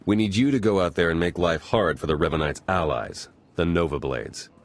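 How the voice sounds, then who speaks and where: A man's voice: low voice, fairly quick. A man playing an easygoing, wry spy in a video game, casual and matter-of-fact. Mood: wry, businesslike. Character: wry, easygoing male spy briefing his team.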